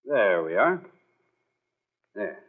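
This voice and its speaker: An actor playing a confident, skeptical gentleman and unruffled husband, brisk and reassuring, in old-time radio drama style. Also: lowish voice, very animated, heavily acted. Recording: dull, muffled old radio recording.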